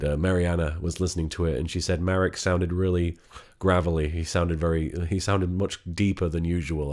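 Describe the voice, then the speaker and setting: Sick man with a very low gravelly voice. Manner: speaking quietly, halting with many restarts. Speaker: vlogger. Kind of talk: candid personal vlog.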